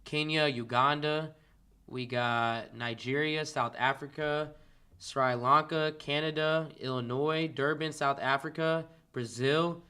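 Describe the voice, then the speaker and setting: Man, livestream coach, bright crisp voice, upbeat greetings to chat. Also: on the high side, steady tone, slow pace. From Kansas City, Missouri, US. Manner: hypes up and greets chat viewers by name. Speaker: dropshipping coach hosting a livestream. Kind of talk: casual livestream Q and A.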